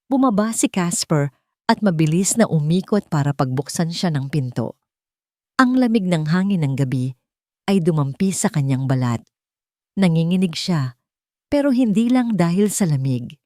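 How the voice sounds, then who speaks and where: A woman's voice: lowish voice, lively intonation, moderate pace, darkish tone, no echo, clean studio sound. A woman reading dramatic scripted narration as the storyteller of a romance audio drama.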